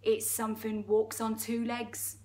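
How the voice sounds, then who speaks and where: A woman's voice: fairly high pitch, monotone, a little slow, darkish tone, lightly acted. A woman reader acts out a huge, boastful crocodile from a children's story. The creature is greedy and sly, lingering over words with gloating menace.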